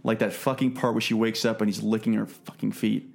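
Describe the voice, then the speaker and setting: A man's voice: lowish voice, little pitch movement, fairly quick, creaky. A man hosting a podcast, talking through a film in a casual, conversational way. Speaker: podcast host and film reviewer.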